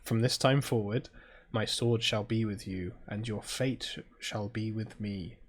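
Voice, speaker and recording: A British man hosting a game playthrough, chatting casually and reading story text aloud in a low-key, halting way. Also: husky. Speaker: gaming video host playing a visual novel.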